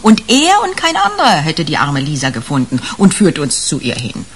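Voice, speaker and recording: Lively, brash senior policewoman. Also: low voice, moderate pace, a little hiss or hum, exaggerated voice. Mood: conspiratorial. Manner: blunt, colloquial, teasing. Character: brash, sardonic senior policewoman, shrewd and unfussy. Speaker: actor in a radio crime drama. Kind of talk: 1980s German radio play acting.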